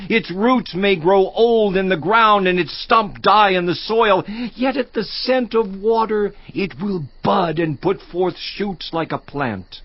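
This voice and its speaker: Voiced by a man, a suffering man laments the brevity of life in a slow, grave, mournful delivery. The voice sits a little high. The recording is dry and slightly dull. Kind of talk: dramatized scripture reading.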